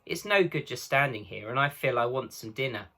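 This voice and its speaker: A man voicing an anxious, excitable young girl in a storybook reading. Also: mid-range voice, a little fast. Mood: anxious, urging.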